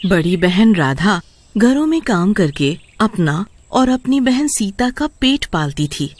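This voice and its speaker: A woman's voice: medium pitch, sing-song, slow pace, dry recording. A woman storyteller narrating a scripted tale.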